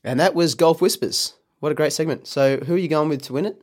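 A man's voice: a little high, quick pace, a little mellow. Swearing, joking man. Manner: swearing, joking, laughing often. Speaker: podcast co-host. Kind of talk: crude casual banter.

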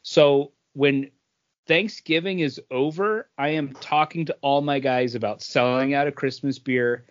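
A man's voice: medium pitch, steady tone, unhurried, no echo. Man in a dry, muffled podcast recording. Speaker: podcast co-host. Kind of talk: casual podcast chat. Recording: dull, lo-fi sound.

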